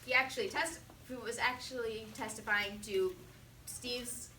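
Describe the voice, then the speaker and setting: Bright-voiced teenage girl speaking in a roomy space. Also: fairly high pitch, steady tone, moderate pace. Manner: rhetorical, repeating phrases for emphasis. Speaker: student presenting a mock defense speech. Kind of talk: prepared persuasive speech. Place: indoor classroom. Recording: roomy, distant mic, faint noise.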